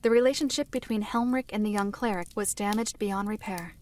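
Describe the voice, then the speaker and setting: Woman playing solemn, measured seer narrator. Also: mid-range voice, a little mellow, close to an everyday voice. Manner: measured, formal. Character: solemn mystical female seer recounting past tragedies. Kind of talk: scripted dramatic narration.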